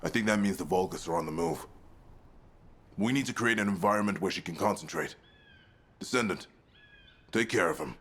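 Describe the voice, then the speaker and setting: An actor playing a serious, protective military leader in a video game, with a deep husky voice. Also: male voice, fairly quick, long pauses. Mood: watchful, serious. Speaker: actor voicing a game character. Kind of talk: scripted game dialogue.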